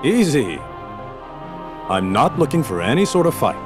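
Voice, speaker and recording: A man acts an honourable captain of the guard in a video game. His medium-pitched voice is assured and very expressive. Music is clear behind him. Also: normal speed, natural voice. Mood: easy confidence. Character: confident, honourable male soldier, a guard captain.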